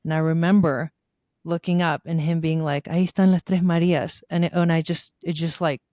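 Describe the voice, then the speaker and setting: Woman from Argentina, podcast guest, lowish pitch, smooth clear tone, chatty and eager. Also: steady tone, fairly quick, warm tone, dry recording, clean studio sound. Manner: enthusiastic, chatty, with frequent fillers and restarts. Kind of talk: casual conversational interview.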